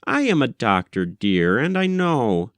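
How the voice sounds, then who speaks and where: Man reading as a patronizing, doting husband, animated intonation. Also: medium pitch, unhurried, no room sound. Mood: patient, patronizing. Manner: coaxing, condescending. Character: doting, patronizing husband, a self-assured doctor. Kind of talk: audiobook character dialogue.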